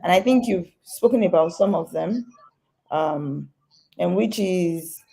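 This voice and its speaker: Mellow low-voiced woman host. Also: animated, takes it slowly, gravelly. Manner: addresses viewers as "guys", frequent "um" fillers. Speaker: real estate host. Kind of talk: interview hosting.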